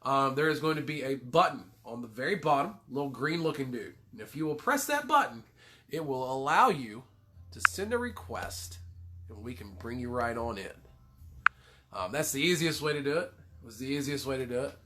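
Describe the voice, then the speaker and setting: A man's voice: mid-range voice, sing-song, moderate pace, noisy background. A man with a cold, casually co-hosting a livestream, rambling, swearing and cracking self-deprecating jokes. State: congested with a cold. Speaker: livestream co-host.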